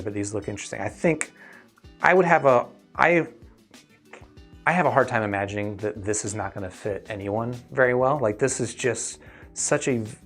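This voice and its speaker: A man hosting a casual livestream question-and-answer session, explaining things in a thoughtful, hedging way. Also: on the low side. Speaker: host reviewing audio gear and answering viewer questions.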